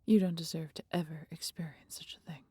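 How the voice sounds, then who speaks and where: A woman voicing a mighty female dragon, proud yet caring, with breathy, husky tones and archaic, theatrical phrasing in a fantasy audio roleplay. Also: deep voice, fairly even intonation, fairly quick, dark tone. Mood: tender, sorrowful.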